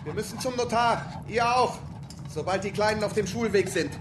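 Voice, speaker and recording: An actor playing a coarse, awkward young farm heir in a German radio drama. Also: male voice, high-pitched, moderate pace, music in the background, lightly acted. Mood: weary, businesslike.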